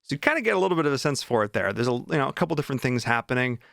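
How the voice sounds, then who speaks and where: A man's voice: mid-range voice, big pitch swings, rapid-fire, gravelly, fairly bright tone, dry recording, clean studio sound. A man chatting casually as a vlog host, informal and enthusiastic about his writing. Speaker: vlog host and indie author.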